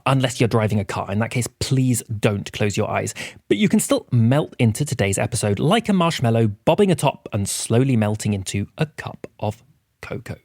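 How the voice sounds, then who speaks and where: Lively-pitched man hosting, very dry sound. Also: no echo. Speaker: podcast host. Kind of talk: scripted podcast announcement.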